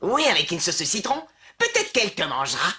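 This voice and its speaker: An actress voicing a bossy, scheming schoolboy ringleader, commanding and teasing, in a dubbed cartoon with music behind. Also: female voice, lowish voice, huge pitch swings, brisk, noisy background. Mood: teasing, gossiping.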